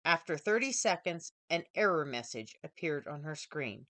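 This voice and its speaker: Expressive woman narrating, lively pitch. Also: normal speed, dry recording. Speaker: author reading own story. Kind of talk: scripted audiobook narration.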